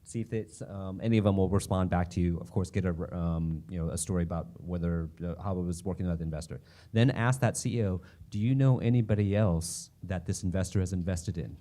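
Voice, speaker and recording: Low, mellow-voiced male panelist. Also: fairly quick. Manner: practical, conversational, with fillers and "okay?" checks. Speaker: panelist investor. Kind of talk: panel advice.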